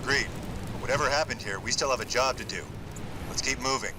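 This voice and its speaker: A confident supersoldier team leader played by a man in a sci-fi game. His mid-range voice is brisk and dutiful. Heavy noise surrounds it. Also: mid-range voice, natural voice. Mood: alert, resolute. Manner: brisk, soldierly. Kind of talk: scripted sci-fi game dialogue.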